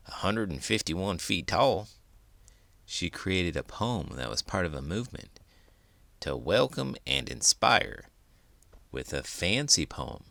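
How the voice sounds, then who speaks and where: A man's voice: low voice, vocal fry, pushed and bright, no room sound. A man reading a children's book aloud as a host, with dramatic, emphatic delivery. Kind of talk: expressive storybook read-aloud.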